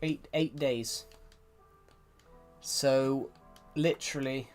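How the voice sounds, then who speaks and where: A young streamer with a Southwest English accent talks in a loose, rambling way, often restarting sentences and answering his chat. His voice sits at an ordinary middle pitch. Faint music and keyboard typing run underneath. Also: fairly even intonation, drawn-out words. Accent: Southwest England. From England. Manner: rambling, restarts a lot, reads chat aloud. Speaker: game streamer. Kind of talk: casual live-stream chat.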